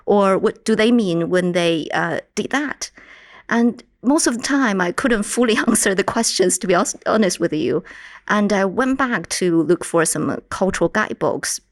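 A woman with a Mandarin Chinese accent, a native Mandarin speaker from China, explaining her work in a measured way as a podcast guest. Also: medium pitch, expressive, dry recording, studio quality. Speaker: guest linguistics researcher. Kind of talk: podcast interview.

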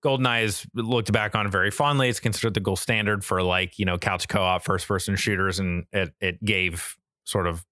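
An American man in his thirties with a lowish, bright, forceful voice in a very dry recording. He talks casually and eagerly as a podcast co-host, rambling along and swearing freely. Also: fairly even intonation, moderate pace, hardly any pauses, no room sound. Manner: enthusiastic, rambling, profane. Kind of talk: casual chat.

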